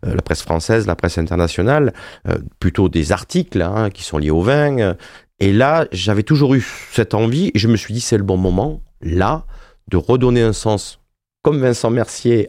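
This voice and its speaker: A man's voice: on the low side, a little mellow, no echo, studio quality. A man in his fifties, an author being interviewed on radio, talking with many hesitations and restarts. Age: 50s. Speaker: author and wine journalist.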